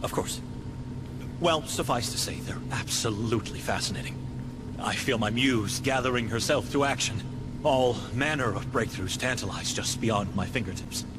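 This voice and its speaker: An actor playing an eager, self-satisfied research doctor in a game cutscene, with a theatrical, wordy manner. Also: male voice, medium pitch, normal speed, very noisy, close to an everyday voice. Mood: enthused. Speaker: scientist. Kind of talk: scripted game cutscene acting.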